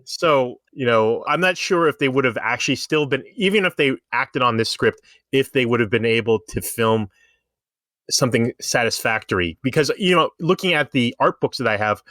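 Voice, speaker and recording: A man's voice: medium pitch, animated, moderate pace, a bit bright, no echo, studio quality. A man casually discussing pop culture as a podcast panelist, reasoning things through at length in an explanatory way.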